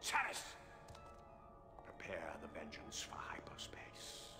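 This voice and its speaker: An actor playing a cold, scheming dark Jedi villain in a video game cutscene, commanding and deliberate. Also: male voice, a bass voice, huge pitch swings, gravelly, whispered, exaggerated voice. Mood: imperious, scheming. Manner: imperious, deliberate. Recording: echoey game cutscene under music.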